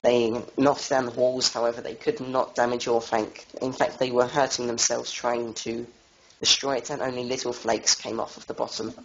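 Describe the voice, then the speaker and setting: A young man with a cold giving an informal lore talk as a tour guide, halting and full of fillers. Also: medium pitch, flat delivery. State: has a cold. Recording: dull lo-fi voice chat audio.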